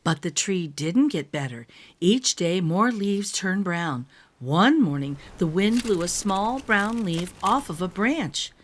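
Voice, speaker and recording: A woman narrating a children's picture book in an expressive, careful storytelling manner. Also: fairly low pitch, slow. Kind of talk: expressive storybook read-aloud.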